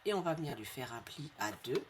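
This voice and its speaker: A woman with a slight head cold hosting a craft tutorial in a friendly, chatty way, repeating each measurement slowly for her viewers. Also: rumbling low, animated, very noisy. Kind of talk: casual step-by-step craft tutorial.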